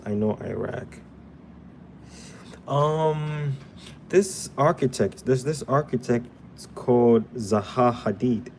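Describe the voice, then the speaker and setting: A man's voice: mid-range voice, dark tone, slight background noise. A young man from Ghana hosting a live drawing tutorial, chatting casually with viewers while he sketches at a desk indoors. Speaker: drawing livestream host.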